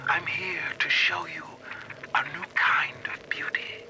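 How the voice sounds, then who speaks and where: A man's voice: a little high, very animated, takes it slowly. An actor voicing a rapturous, deranged male surgeon, theatrical and dreamy, sometimes sinking to a whisper in a game audio diary. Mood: entranced. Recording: very noisy, crackling audio-diary recording.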